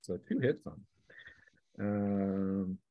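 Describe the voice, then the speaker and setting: A man's voice: deep voice, no echo, narrow, tinny audio. Casual man running a tabletop game. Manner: casual, halting narration mixed with rules talk. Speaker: game master. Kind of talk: narrating a tabletop role-playing game.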